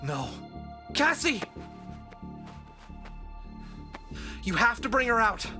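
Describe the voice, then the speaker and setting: A man's voice: very high voice, big pitch swings, normal speed, pushed and bright, soft background music, exaggerated voice. An actor playing an earnest, determined and loyal male fox adventurer in an audio drama. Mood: alarmed.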